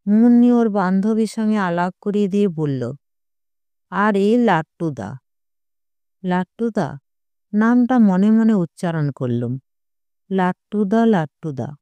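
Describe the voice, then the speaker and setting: A narrator reading a first-person short story in a measured, literary storytelling manner. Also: medium pitch, lively intonation, mellow, smooth, dry recording.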